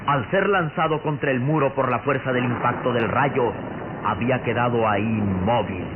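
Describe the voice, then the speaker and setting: A man narrating a radio drama in a theatrical, suspenseful style with deliberate phrasing. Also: mid-range voice. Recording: noisy, tinny old radio drama recording.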